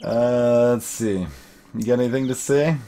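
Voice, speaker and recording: A man doing casual let's play commentary in a mid-pitched voice. He thinks out loud as he goes, repeating phrases and reading bits of dialogue. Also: steady tone, unhurried, a little hiss or hum. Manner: musing aloud, repeating phrases.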